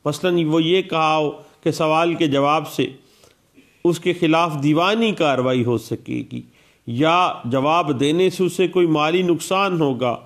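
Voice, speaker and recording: Slow gravelly lecturer explaining law. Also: male voice, on the high side. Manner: explanatory, repeats statute citations, Urdu legal terms with English words.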